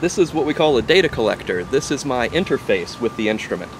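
Man explaining, mid-pitched voice. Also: sing-song, quick pace, background noise. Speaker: archaeologist, surveyor. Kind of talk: explaining equipment in an interview. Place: outdoors by a wooden fence and trees.

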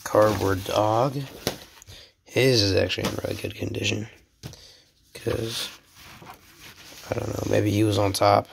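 Young man, low gravelly voice, slow, a bit sick, rambling and whispery, phone mic at home. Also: level tone, noisy background. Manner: rambling, self-deprecating, drops to whispers. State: sick, voice slightly off. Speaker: channel host. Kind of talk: casual show-and-tell vlog.

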